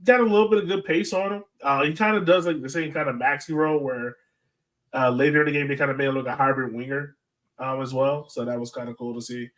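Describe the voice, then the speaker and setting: A man's voice: medium pitch, fairly even intonation, fast, fairly bright tone. An American man co-hosting a sports podcast in casual, informal talk peppered with "like". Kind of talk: casual chat. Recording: voice distant from the microphone.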